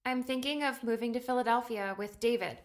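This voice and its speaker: A woman's voice: a little high, fairly quick, lightly acted. A woman playing an earnest, hesitant young woman in an audiobook drama. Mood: nervous. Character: earnest, homesick young woman, eighteen in memories. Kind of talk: audiobook character acting.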